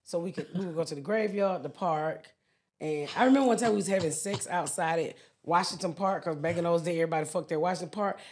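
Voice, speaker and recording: Deep voiced middle-aged woman, blunt and dirty-mouthed, laughing through her stories on a studio mic. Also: quick pace, a little mellow. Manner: blunt, profane, laughing storyteller. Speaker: podcast guest. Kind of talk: raunchy comedic storytelling. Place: podcast studio. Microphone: desk mic on boom arm.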